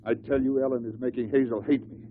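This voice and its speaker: An actor playing a bitter, short-tempered husband, curt and sarcastic, in a 1950s radio drama. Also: male voice, mid-range voice, little pitch movement, quick pace, lightly acted. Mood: testy, bitter. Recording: tinny, noisy old radio recording.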